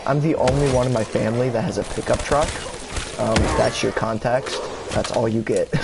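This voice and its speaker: A young man casually rambling in a gaming vlog, full of fillers, restarts and casual swearing, his voice jumping higher when he gets excited. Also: normal speed, gravelly. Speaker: gaming YouTuber. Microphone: console camera mic. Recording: steady background noise.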